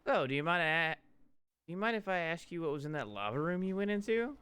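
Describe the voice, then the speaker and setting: A man's voice: fairly high pitch, lively intonation, fast talker, a bit bright, clean tone. A man voicing a chatty, sarcastic young woman in a comedic game fan dub, full of questioning banter. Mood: inquisitive, dry. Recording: background noise.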